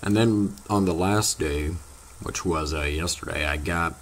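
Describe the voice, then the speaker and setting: A teenage boy, slightly hoarse from losing his voice, chatting casually to his viewers in a rambling, halting way full of fillers. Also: deep voice, slight background noise. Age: teens. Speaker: vlogger. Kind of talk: casual vlog chat to viewers.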